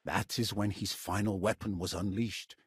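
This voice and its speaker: Husky, low-voiced solemn warrior. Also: male voice, fairly even intonation, no echo, studio quality. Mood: grave. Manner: formal, solemn, deliberate phrasing. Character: grave, dutiful male Sith warrior ally, menacing and formal. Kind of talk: video game cutscene acting.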